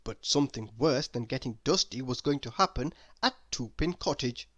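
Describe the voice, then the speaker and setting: Low-voiced, lively woman storyteller. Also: moderate pace. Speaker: story reader. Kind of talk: expressive children's storybook narration.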